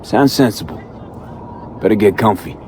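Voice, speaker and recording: Man playing a low-voiced streetwise American mercenary. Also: lively intonation, normal speed, a crowd in the background, background noise, lightly acted. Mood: businesslike, alert. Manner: casual, terse, clipped street talk. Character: streetwise young male mercenary, wry and businesslike. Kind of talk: video game voice acting.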